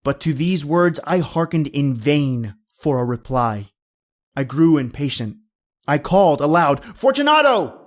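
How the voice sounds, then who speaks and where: A man playing a cold, vengeful nobleman who narrates his crime in a deliberate, dramatic horror reading. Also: on the high side, sing-song. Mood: impatient, grim. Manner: theatrical, deliberate, dramatic storytelling.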